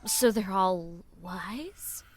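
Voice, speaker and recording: An actress in an audio drama playing an earnest, naive young granddaughter who asks puzzled, innocent questions. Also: female voice, mid-range voice, drawn-out words, faint music behind. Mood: curious, puzzled.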